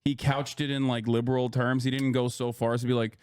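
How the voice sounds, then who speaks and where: A man's voice: medium pitch, little pitch movement, brisk, a little mellow, clear, resonant tone. A man hosting a livestream in casual, joking chat, swearing and speaking straight to his chat. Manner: joking, profane, talks to chat. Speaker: streamer host.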